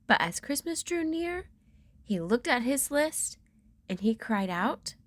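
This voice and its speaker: A woman's voice: on the high side, big pitch swings, slow pace, dry recording. A woman reading a rhyming children's picture book aloud with theatrical storyteller emphasis.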